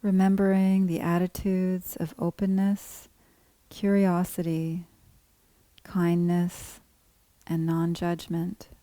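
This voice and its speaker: Female meditation guide: relaxed dark tone, very unhurried, calm measured instruction. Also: on the low side, no room sound. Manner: calm, unhurried, gently measured phrasing with pauses. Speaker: meditation teacher guiding a body scan.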